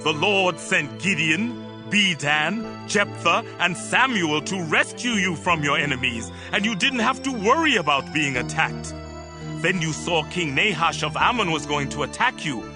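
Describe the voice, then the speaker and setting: A man's voice: on the high side, animated. A man playing a stern, solemn prophet admonishing his people in a dramatized scripture reading. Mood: stern, reproachful. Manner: slow, grave, exhorting. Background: music.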